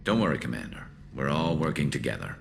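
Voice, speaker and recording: A man voicing a battle-scarred alien soldier in a video game, loyal and dryly wry, with a gravelly voice. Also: very animated, quick pace, slight background noise, full character voice. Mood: dry, steady. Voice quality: gravelly, very deep. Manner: dry, wry, clipped. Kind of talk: video game dramatic acting.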